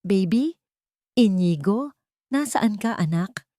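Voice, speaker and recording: A gentle Filipino mother speaks in a hushed, secretive and soothing way, acted by a woman narrator. It is audiobook drama, recorded very dry. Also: mid-range voice, lively intonation, slow pace, no room sound, voice pushed up. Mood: searching. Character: gentle, secretive, protective young boy's mother.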